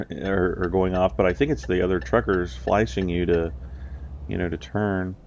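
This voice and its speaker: A man's voice: sits low, fairly even intonation, moderate pace, vocal fry, a little mellow, muffled recording, slight background noise. A man from the US, stuffy and nasal from a cold, chatting in a rambling way with lots of ums as he plays a driving game. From United States. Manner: rambling, with many ums and uhs. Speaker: let's play host. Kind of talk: casual gameplay commentary.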